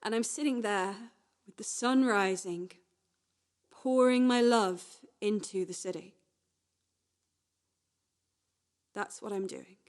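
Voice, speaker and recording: A woman playing a restless, wistful young woman in a confessional radio play monologue, speaking naturally in halting, repetitive phrases. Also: mid-range voice, natural voice. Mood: hushed, tender. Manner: halting, repetitive, swinging from hushed to agitated.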